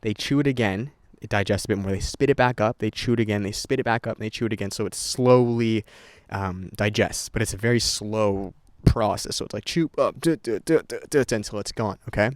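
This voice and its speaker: Low-voiced young man vlogging, dry sound. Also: fairly quick, dry recording. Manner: rambling, swears freely, many restarts. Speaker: content creator vlogger. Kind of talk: unscripted casual talk to camera.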